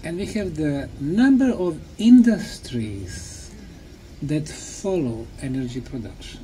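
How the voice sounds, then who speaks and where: Slow-talking man giving a mellow public talk. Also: on the high side, lively intonation, slight background noise, low-quality recording. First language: Bosnian. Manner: pauses after short, simple phrases. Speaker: speaker, tour guide. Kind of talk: public talk in short phrases for an interpreter.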